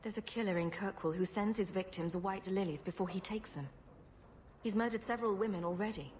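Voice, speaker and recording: Actress for sarcastic heroine of a fantasy game; middle register, dry wit, light noise behind. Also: female voice, medium pitch, a little fast, a little mellow, close to an everyday voice. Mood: grave. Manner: wry, flippant, teasing. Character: wry, sarcastic rogue heroine, quick-witted adventurer. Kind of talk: video game voice acting. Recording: faint background ambience noise.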